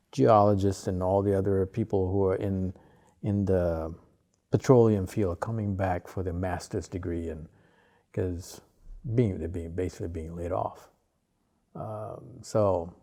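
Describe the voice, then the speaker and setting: Gravelly, mellow-voiced man in his fifties. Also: on the low side. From Malaysia. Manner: unhurried, with many pauses and fillers. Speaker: artist guest. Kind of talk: relaxed interview conversation.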